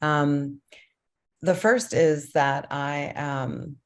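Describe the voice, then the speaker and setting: A woman born in Colombia, a documentary director, answering thoughtfully in a panel conversation with many pauses and restarts. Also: deep voice, steady tone.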